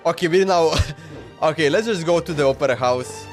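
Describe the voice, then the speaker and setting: A man's voice: on the high side, moderate pace, soft background music. A man from Slovenia with a Slovenian accent, chatting casually with viewers while streaming a game. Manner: casual, chatty, talks to chat while playing. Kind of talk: live gameplay commentary.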